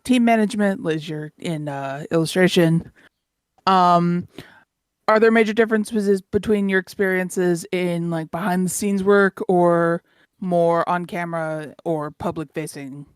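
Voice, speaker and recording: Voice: mid-range voice, clean tone, dry recording, clean studio sound. A young adult moderating a casual panel, asking rambling questions and correcting themselves as they go.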